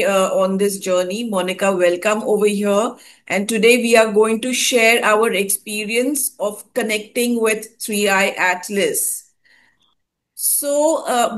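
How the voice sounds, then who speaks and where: A woman from India hosting a conversation, recounting a meditative experience with frequent hesitations and fillers. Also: mid-range voice. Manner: hesitant, many fillers and restarts. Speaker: host. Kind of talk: casual spoken account of a spiritual experience.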